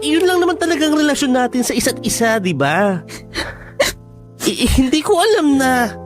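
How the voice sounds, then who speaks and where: An actor playing an evasive, commitment-shy casual lover in a Filipino radio drama, dismissive and exasperated. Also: male voice, very high voice, big pitch swings, moderate pace, over music.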